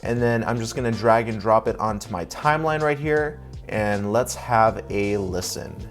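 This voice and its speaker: A man's voice: on the low side, expressive, normal speed, soft background music. A young man hosting a sponsored video-editing tutorial, explaining each step in an upbeat, casual way. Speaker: video editor and tutorial host. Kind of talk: sponsored software tutorial walkthrough.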